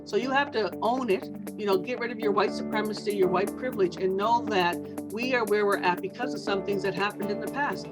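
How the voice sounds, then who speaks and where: A woman's voice: mid-range voice, fairly quick, few pauses, loud music behind the voice. A middle-aged Canadian woman, a small business owner, answering earnestly and at length in a podcast interview.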